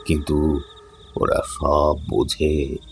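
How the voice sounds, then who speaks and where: A man voicing a grim, mysterious old wanderer in a horror audio story, speaking gravely and ominously. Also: a bass voice, completely flat delivery, drawn-out words, slight background noise, voice pushed down, exaggerated voice. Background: background music.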